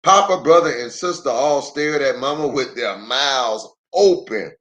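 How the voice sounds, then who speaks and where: A man reading a children's story aloud in an expressive storytelling style. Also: medium pitch, measured pace.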